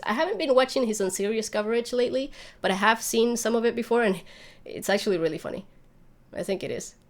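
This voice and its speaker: A woman hosting a livestream, chatting casually and rambling as she thinks aloud while answering viewer questions. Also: mid-range voice. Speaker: YouTube channel host.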